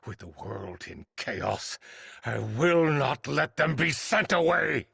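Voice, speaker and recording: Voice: mid-range voice, sing-song, takes it slowly, vocal fry, fairly bright tone, breathy edge, dry recording, studio quality, heavily acted. An actor playing an aging, grief-stricken master of disciples in a video game, bitterly defiant and theatrical. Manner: theatrical, impassioned, bitterly rhetorical. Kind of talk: dramatic video game acting.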